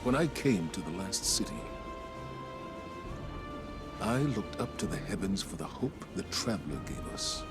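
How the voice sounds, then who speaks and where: Game commander: very low, weary, grave, measured oratory, solemn weight, score behind him. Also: male voice, big pitch swings, loud music behind the voice. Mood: solemn resolve. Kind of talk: dramatic game cutscene monologue.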